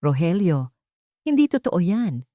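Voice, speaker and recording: A woman's voice: lowish voice, very animated, unhurried, mellow, no room sound, clean studio sound. A woman voicing an anguished, tearful young mother who pleads her innocence in an audio melodrama. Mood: pleading.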